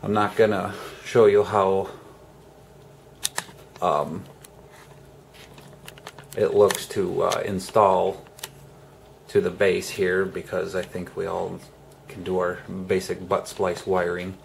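Slow, gravelly man explaining a DIY job. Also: low voice, completely flat delivery, a little hiss or hum. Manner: halting, pausing mid-sentence in short phrases. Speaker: homesteader host of a DIY tutorial. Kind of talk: casual hands-on how-to explanation.

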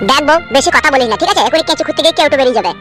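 A man's voice: extremely high pitch, racing, over loud music. A man voicing a grumpy, crude, sarcastic village husband in a comedy cartoon. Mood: irritated, sarcastic.

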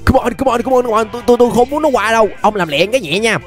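A young man with a Southern Vietnamese accent giving excited, exclamatory gameplay commentary, repeating phrases and dropping in English interjections. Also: very high-pitched, very fast, soft background music. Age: young adult. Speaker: gaming YouTuber. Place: indoor gaming room.

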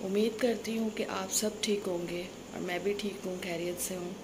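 A woman's voice: mid-range voice, steady tone, normal speed, background noise. A young woman chatting to viewers in a casual home vlog, filmed on a phone at arm's length. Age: young adult. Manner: chatty, addresses viewers directly. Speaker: vlogger and Sunday school teacher. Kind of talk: casual vlog chat to camera. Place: small room at home, indoors. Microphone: phone camera at arm's length.

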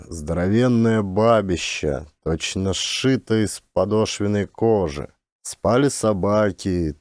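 A man narrating a scripted audiobook in a measured, expressive storytelling manner. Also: lowish voice, dry recording, clean studio sound.

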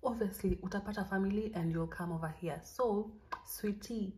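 A young Kenyan woman with a Kenyan accent, talking through a casual tutorial at an average pitch. She is chatty and encouraging and slips back and forth between Swahili and English. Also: normal speed, a little mellow. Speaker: vlogger.

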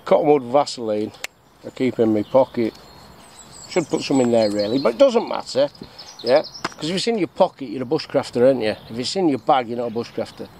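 A man's voice: medium pitch, sing-song, brisk, dark tone. A middle-aged English man with a jokey, chatty manner, showing his bushcraft gear outdoors and asking himself questions before answering them. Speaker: bushcraft vlogger. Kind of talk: casual outdoor demonstration. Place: woodland camp outdoors. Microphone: action camera on chest or tripod. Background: birdsong and faint outdoor noise.

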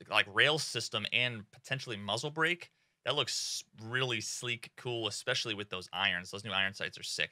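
Bright-voiced excitable young man streaming. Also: on the low side, no echo, clean studio sound. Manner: excitable, swears freely, many fillers. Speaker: gaming streamer. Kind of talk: casual gaming commentary. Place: small home streaming room.

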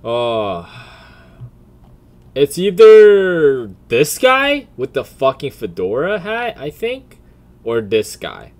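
Guy doing casual commentary, slow paced, lots of swearing, blunt, pitch goes up and down a lot. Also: huge pitch swings. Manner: crude, profane, blunt. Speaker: video creator.

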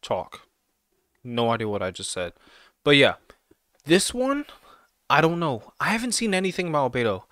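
A man's voice: on the high side, very animated, normal speed, long pauses, fairly bright tone, dry recording. A young man giving casual, slangy, exclamatory reaction commentary as host of a video, close to the mic. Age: young adult. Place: small home room. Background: game trailer music.

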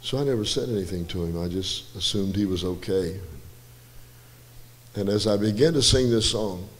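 Hoarse older man telling stories in church. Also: on the low side, moderate pace, slight background noise. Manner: relaxed, rambling storyteller with self-deprecating asides. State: slightly hoarse. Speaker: guest musician and speaker. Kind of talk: church storytelling testimony. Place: church stage, large indoor room. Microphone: handheld mic. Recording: reverberant church hall with faint music.

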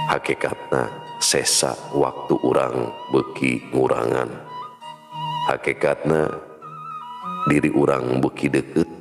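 A man's voice: extremely low pitch, creaky. A man in his forties with a Sundanese accent, giving a solemn, murmured devotional talk and prayer recitation close to the microphone. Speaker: storyteller leading a closing prayer. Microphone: studio condenser with pop filter, very close. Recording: long hall reverb, music behind. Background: music.

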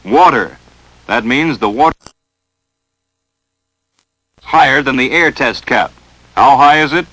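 A man's voice: medium pitch, takes it slowly, long pauses, pushed and bright, dry recording, poor audio. An American man narrating a 1940s instructional film in a stern, emphatic, dramatic coaching manner, on a vintage film soundtrack.

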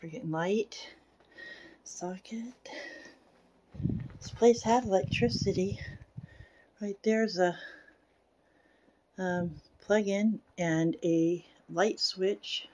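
Woman musing aloud, medium pitch. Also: very slow, long pauses, darkish tone, poor audio. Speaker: urban exploration vlogger. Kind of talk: casual walkthrough commentary. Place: in and around derelict wooden buildings. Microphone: handheld camera or phone, operator behind it.

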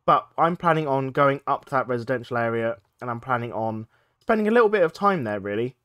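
A man's voice: medium pitch, expressive, a little fast, fairly bright tone, no room sound, clean studio sound. A man, a bit stuffed up from a cold, chatting casually as he plays a game. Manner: chatty, thinks aloud while playing. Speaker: let's play gaming host. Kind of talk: casual gameplay commentary.

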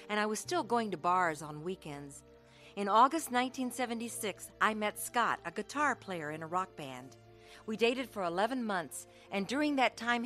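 A woman's voice: mid-range voice, a bit bright, lightly acted. An actress playing an earnest young woman telling her life story of faith in a radio drama. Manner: earnest, heartfelt. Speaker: actress narrating and playing the lead. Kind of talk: radio drama acting with first-person narration.

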